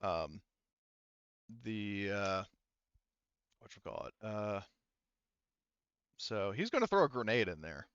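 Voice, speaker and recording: Halting game master, smooth lowish male voice. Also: big pitch swings, fairly bright tone, dry recording, muffled recording. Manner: halting, thinking aloud. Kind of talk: running an online tabletop roleplaying game. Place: online voice session. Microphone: headset.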